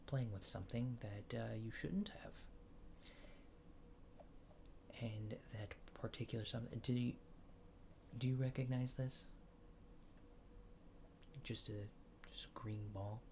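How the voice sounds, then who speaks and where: A man playing a laid-back, faintly apologetic alien fixer in a soft, hesitant, often near-whispered ASMR roleplay. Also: lowish voice, creaky, slight background noise. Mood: casual, evasive. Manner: hesitant, understated, dryly matter-of-fact.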